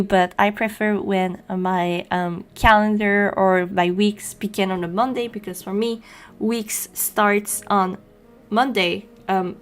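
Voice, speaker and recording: A young woman from Quebec with a French-Canadian accent, a native French speaker, chatting casually in a voice-over as she draws, often pausing with ums and you-knows. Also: medium pitch. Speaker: journaling channel host. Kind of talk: casual voice-over narration while drawing.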